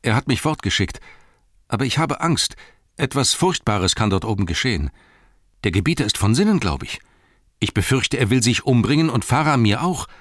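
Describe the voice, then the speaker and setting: A man as a high, frightened young halfling. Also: expressive, a bit bright, no echo, studio quality. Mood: anxious. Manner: breathless, pleading, hurried. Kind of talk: fantasy audiobook character reading.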